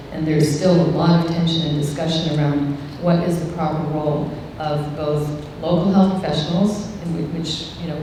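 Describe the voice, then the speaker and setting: A woman speaking as an expert panelist, explaining in careful, measured and fairly formal phrasing. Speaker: expert panelist from a humanitarian or rights organization. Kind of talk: panel discussion, considered explanation. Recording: very echoey hall, distant mic, noisy rumble.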